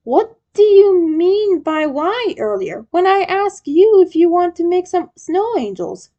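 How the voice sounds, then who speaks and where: A woman's voice: very high voice, sing-song, unhurried, dark tone, highs cut off, pitched above the performer's own voice, heavily acted. A woman voicing a kind, shy young friend with a crush in a read-aloud story. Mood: friendly, reassuring. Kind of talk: story character dialogue.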